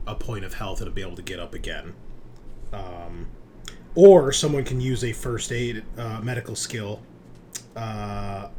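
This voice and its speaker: A man running a tabletop roleplaying game, narrating and explaining rules in a halting, think-aloud way. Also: mid-range voice, a little mellow. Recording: clear background noise.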